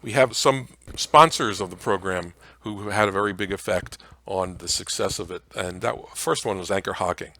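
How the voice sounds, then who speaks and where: A man with a low voice gives an informal history lecture. He speaks haltingly, pausing to search for words, and the sound is dry and close. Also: pushed and bright, dry recording. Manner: halting, frequent uhs. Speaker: presenter. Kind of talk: informal slide lecture on old-time radio history.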